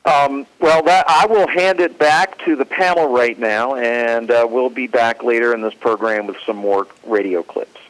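A man presenting informally, halting as he searches for words. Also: medium pitch, a bit bright, rough recording. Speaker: convention presenter. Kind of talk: informal clip introduction.

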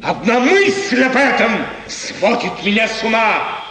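A haughty, wavering prince in an old radio play. His voice is very high for a man and imperious in delivery. The 1950s recording is echoey and set back from the mic. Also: big pitch swings, takes it slowly, sharp tone, distant mic, full character voice. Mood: indignant, scornful. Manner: theatrical, imperious. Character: impulsive, anxious young prince, haughty and wavering.

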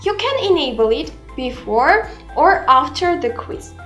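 A woman in her twenties giving a scripted, instructional software tutorial in a deliberate manner. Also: high voice, very animated. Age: 20s. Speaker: tutorial presenter. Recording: roomy echo, music underneath. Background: background music.

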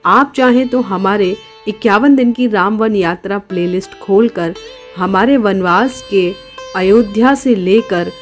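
A woman's voice: medium pitch, measured pace, soft background music. A woman narrates a travel vlog, devotional in manner and speaking straight to viewers.

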